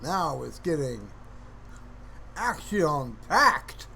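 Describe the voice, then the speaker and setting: A man chats to his livestream in an unhurried, musing way, with a fairly high voice that swings widely in pitch. He is playfully mock-earnest. A faint background noise sits behind him. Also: big pitch swings, creaky, bright, forceful tone. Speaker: livestreamer hosting his own stream. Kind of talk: casual, rambling livestream commentary to viewers.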